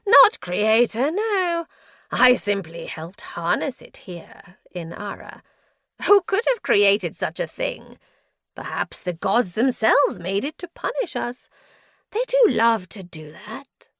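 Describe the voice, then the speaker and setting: A woman voicing a deranged, delighted male prisoner in his forties in an audiobook story, breathy and erratic in his exclamations. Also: mid-range voice, wildly swooping pitch, slow pace, a bit bright, no echo, studio quality, exaggerated voice. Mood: manic glee.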